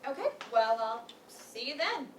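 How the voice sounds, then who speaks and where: A woman's voice: high-pitched, big pitch swings, measured pace. A woman in a staged play reading playing a frank but hesitant young woman, speaking casually. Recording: roomy, distant mic, faint noise.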